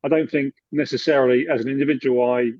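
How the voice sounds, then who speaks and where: Relaxed British man on a dull line. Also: medium pitch, fast, no echo. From United Kingdom. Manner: relaxed, with frequent fillers and restarts. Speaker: guest, expat finance expert. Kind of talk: casual interview conversation.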